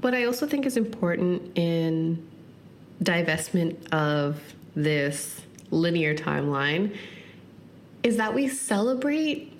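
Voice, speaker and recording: Woman in her thirties, solo podcast host, lowish voice, earnest, reflective, faint background hum. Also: lively intonation. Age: 30s. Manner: earnest, frequent "like" fillers, speeds up and slows for emphasis.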